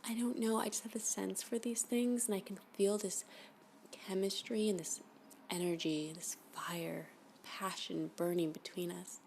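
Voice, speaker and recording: A woman playing a flirty, obsessive, unhinged admirer in an intimate ASMR roleplay, coy and rambling, often slipping into breathy half-whispers. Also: medium pitch, steady tone, unhurried, a little mellow, slight background noise. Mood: sultry, smitten. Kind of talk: ASMR roleplay, intimate one-sided dialogue.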